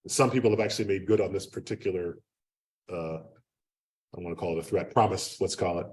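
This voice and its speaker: A man giving an unscripted academic lecture in a loud, projecting voice, talking conversationally with lots of fillers and restarts. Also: low voice, brisk. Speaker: guest lecturer. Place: lecture room. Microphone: speaking away from the microphone. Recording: distant room mic.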